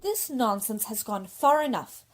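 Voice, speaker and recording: A woman's voice: fairly high pitch, big pitch swings, unhurried. A woman playing a guarded young assistant, firm and proper, in a radio drama. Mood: cool firm. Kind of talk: old-time radio drama acting.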